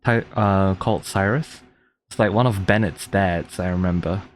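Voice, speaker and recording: A man's voice: deep voice, moderate pace, slight background noise. A man giving casual, chatty live commentary as he reacts to a story-driven video game. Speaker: streamer playing a story game.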